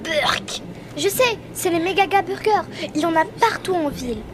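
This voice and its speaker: A woman's voice: very high voice, lively intonation, normal speed, background noise. A young girl playing an uneasy but eager female science student in an audio story. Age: child.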